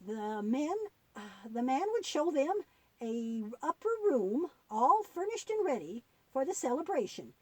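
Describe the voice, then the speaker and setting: A woman voicing a kindly, patient old granny puppet who tells Bible stories to children in a halting, gently instructive way. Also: high voice, very animated, no echo, exaggerated voice. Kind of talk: puppet-show storytelling for children.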